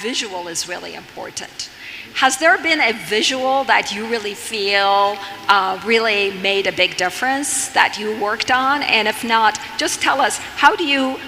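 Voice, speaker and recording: A woman's voice: mid-range voice, vocal fry, sharp tone. A woman in her fifties whose first language is Armenian, moderating a conference panel with polite questions. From Armenian family. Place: large conference hall. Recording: hall reverb, faint noise.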